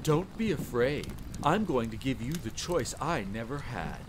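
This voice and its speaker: A man's voice: mid-range voice, noisy background, natural voice. Man putting on a smug, suave vampire count. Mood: cooing menace. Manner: cooing, musing, smug, unhurried. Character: suave, mocking aristocratic male vampire count, coldly amused. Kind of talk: storybook villain in audio roleplay. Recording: steady rain ambience behind.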